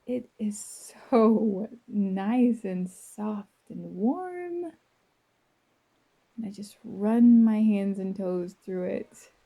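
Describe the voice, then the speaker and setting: A woman narrating a first-person guided visualization in a soft, breathy voice, gentle and dreamy, with long unhurried pauses. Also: medium pitch, expressive, phone-quality sound.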